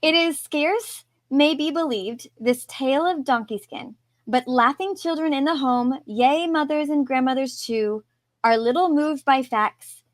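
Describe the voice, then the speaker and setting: A woman with a high voice speaks in a dry, echo-free sound. She chats casually as a podcast co-host, giggly and a little hesitant as she works through her thoughts. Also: measured pace.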